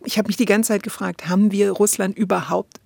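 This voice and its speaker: A woman with a creaky edge to her voice chats reflectively as co-host. She feels her way through personal impressions, recorded dry and close. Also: medium pitch, steady tone, brisk, warm tone, no echo. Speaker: podcast co-host. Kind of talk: casual reflective discussion.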